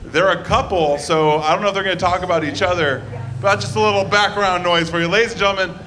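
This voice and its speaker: A man's voice: sits high, steady tone, fast, sharp tone, a little hiss or hum. A man emceeing a comedy show, introducing comics with chatty, joking asides.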